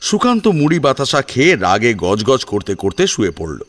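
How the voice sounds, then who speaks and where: A man's voice: mid-range voice, sing-song, normal speed, fairly bright tone. A man narrating a dramatised family story in a scripted storytelling style.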